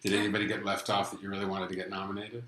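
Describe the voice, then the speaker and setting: Chatty male host, bright echoey sound. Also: low voice, little pitch movement, very fast. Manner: chatty, enthusiastic, gushing. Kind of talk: live chat show hosting.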